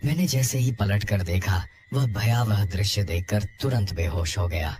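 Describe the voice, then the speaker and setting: A man's voice: lowish voice, moderate pace, a little mellow, a little hiss or hum. A man narrating a scripted horror story in the first person.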